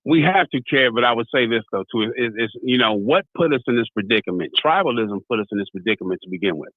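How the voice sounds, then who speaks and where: A man's voice: mid-range voice, expressive, quick pace, no room sound. An American man talking in an impassioned, casual debate as a radio talk host, telling stories and acting out dialogue. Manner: storytelling, acts out dialogue, repeats "you know what I mean". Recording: tinny phone-like sound.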